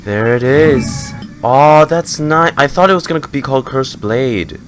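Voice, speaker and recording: A man's voice: medium pitch, measured pace. A man streaming a game reaction, talking casually and reading in-game text aloud with some stumbles. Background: game music with guitar.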